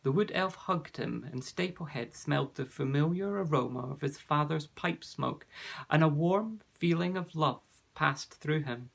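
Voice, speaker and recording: A man reading a children's fantasy storybook aloud, with clear, expressive storytelling. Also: fairly high pitch, measured pace. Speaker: narrator.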